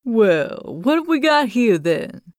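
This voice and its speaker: A woman voicing a big, kindly male bear who speaks gently and sympathetically. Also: fairly high pitch, big pitch swings, slow, dry recording, studio quality, voice pushed up. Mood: curious.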